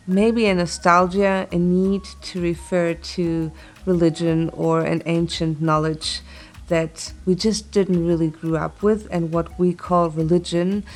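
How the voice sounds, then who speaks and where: A woman from Chile, raised across Europe, speaks as an artist being interviewed, earnest and thoughtful, pausing mid-sentence. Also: low-pitched. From born in Chile, grew up in Italy, England and Germany. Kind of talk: reflective interview talk.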